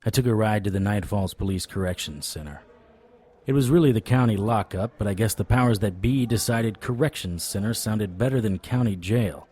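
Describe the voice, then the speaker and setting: An actor playing a wry, tough supernatural private investigator in a noir audio drama, with a deadpan, sardonic delivery. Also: male voice, on the low side, brisk, breathy edge. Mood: wry narration.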